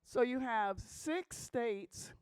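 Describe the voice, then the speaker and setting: A woman's voice: on the high side, lively intonation, very slow, a little mellow, clear, resonant tone, dry recording. A woman addressing a group of pastors in an emphatic talk, often checking in with "okay?" and asking rhetorical questions. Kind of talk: emphatic talk to a church audience.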